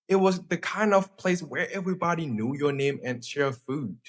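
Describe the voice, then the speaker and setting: A man's voice: fairly high pitch, lively intonation, moderate pace. A twenty-two-year-old man with a Thai accent, presenting a scripted class talk in a friendly way. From Thailand. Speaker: student presenter.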